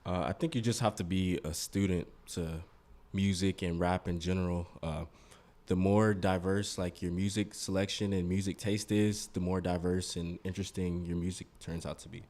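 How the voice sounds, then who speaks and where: Male producer guest, low flat delivery, deep voice, calm studio chat. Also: normal speed. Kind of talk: casual interview chat.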